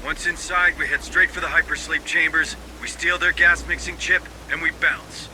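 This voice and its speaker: An actor playing a weary, stubborn marine sergeant, gruff and curt, in a cinematic sci-fi game. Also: male voice, steady tone, moderate pace, pushed and bright. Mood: commanding. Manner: curt, sardonic, commanding. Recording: noisy, engine and ambience rumble.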